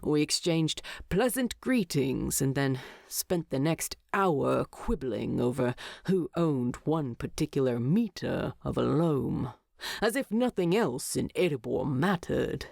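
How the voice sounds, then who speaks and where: Gruff male dwarf king, played by a woman, storybook character, low, gravelly, grumbling yet fond. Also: expressive, slow, darkish tone, dry recording, clean studio sound, heavily acted.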